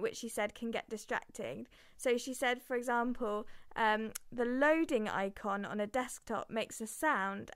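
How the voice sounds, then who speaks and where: Young female student radio host, smooth clear voice, chatty and easygoing, close dry sound. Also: fairly high pitch, dry recording. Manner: chatty, laughs through technical hitches. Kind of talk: casual radio presenting.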